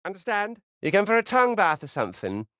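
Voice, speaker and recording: A man voicing a rude, sarcastic, foul-mouthed squirrel spirit in a video game, swinging between muttered asides and brash yells. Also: sits high, huge pitch swings, fast talker, no echo, clean studio sound, exaggerated voice. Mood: sarcastic, dismissive. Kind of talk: video game character acting.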